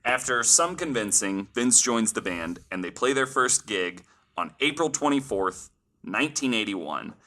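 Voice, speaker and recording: A man's voice: mid-range voice, very animated, a little slow, pushed and bright. A man hosting a podcast, telling a story casually from notes in informal language with the odd swear word.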